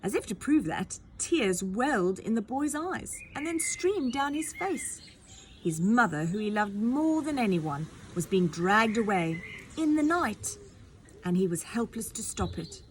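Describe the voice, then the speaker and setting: A woman teacher reading a children's novel aloud with expressive storytelling, adding chatty asides for young listeners. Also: a little high, measured pace, slight background noise.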